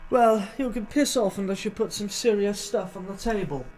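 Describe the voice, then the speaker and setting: A man as a high-voiced sleazy schemer. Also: moderate pace, darkish tone, soft background music, lightly acted, poor audio. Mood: insolent. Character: sleazy, scheming, insolent male tech geek. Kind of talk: comic audio drama acting.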